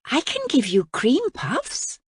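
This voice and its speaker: An earnest little princess, played by a woman reading a storybook, with a high, plaintive girlish voice. The voice is breathy and husky. The recording is dry and close-miked. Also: wildly swooping pitch, slow, dry recording, full character voice. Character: unhappy young princess, earnest girl.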